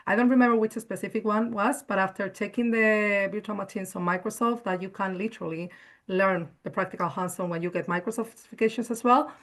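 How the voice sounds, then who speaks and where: A woman's voice: mid-range voice, a bit bright. A woman from Spain with a Spanish accent, answering questions on an online panel in a chatty, enthusiastic way, often tagging on "right?". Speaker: cybersecurity analyst guest.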